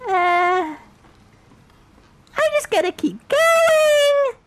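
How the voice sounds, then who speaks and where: Squeaky, feisty cartoon devil. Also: female voice, sing-song, drawn-out words, very noisy, full character voice. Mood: uneasy, playful. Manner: exclamatory, muttering, repeats words. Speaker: gameplay host. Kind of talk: in-character game commentary.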